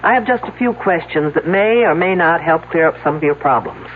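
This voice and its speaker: A woman's voice: fairly low pitch, lively intonation, fairly quick. An actress playing a skeptical, persistent woman detective in a mystery drama, questioning and commenting in a dry, sarcastic manner. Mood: brisk, probing. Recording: noisy, narrow phone-like old broadcast audio.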